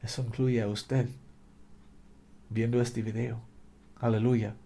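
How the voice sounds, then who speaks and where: A man's voice: medium pitch, flat delivery, takes it slowly, mellow. A man preaching a fervent sermon in a language that is not his native one, exhorting listeners with repetition and occasional slips. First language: not Spanish.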